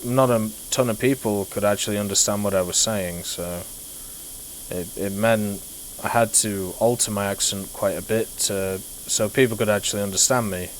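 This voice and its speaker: A young British man whose accent has softened over years in Canada, chatting casually while streaming a game and answering chat. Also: on the low side, fairly even intonation, normal speed, a little hiss or hum, poor audio. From Britain. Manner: laid-back, rambling, answers chat. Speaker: livestreamer. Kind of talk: casual gameplay commentary.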